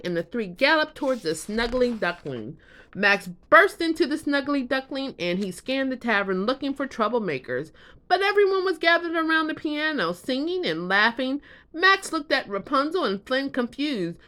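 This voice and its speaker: A woman reading a children's bedtime story aloud as an expressive storyteller. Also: sits high, normal speed.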